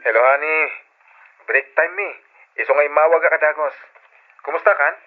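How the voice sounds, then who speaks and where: An actor playing a devoted, sweet-talking young boyfriend with an Ilocano accent, coaxing and playful in old radio drama style. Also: male voice, on the high side, fairly quick, faint music behind, lightly acted. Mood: playful, affectionate. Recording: dull lo-fi old radio recording.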